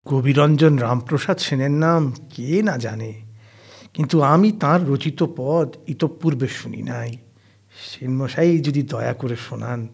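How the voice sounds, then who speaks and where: An actor playing a learned, devout older gentleman in an audio story, troubled and reverent, with formal, archaic speech that halts with emotion. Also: mid-range voice, creaky, breathy edge. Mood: courteous. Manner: formal archaic literary Bengali, halting with emotion. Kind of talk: dramatized audio story acting. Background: plucked string instrument music.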